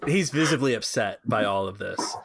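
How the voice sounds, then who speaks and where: A man's voice: lowish voice, animated, normal speed, gravelly, noisy background. A man hosting a podcast and narrating a tabletop role-playing game as game master.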